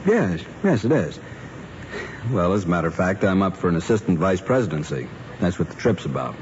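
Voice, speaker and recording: Casting: middle-aged American banker dad, low voice, formal and paternal, dull old radio recording. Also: male voice, big pitch swings, brisk, darkish tone, slight background noise. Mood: formal, preoccupied. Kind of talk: 1950s radio drama acting.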